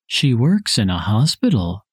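A man reading his parts in a scripted dialogue for a language listening test, with careful, even delivery. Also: medium pitch, measured pace, slightly rough, no echo, clean studio sound.

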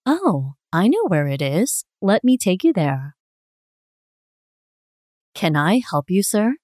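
A woman reading her parts in a scripted dialogue for a language listening test, clear and expressive. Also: on the low side, slow, dry recording, studio quality.

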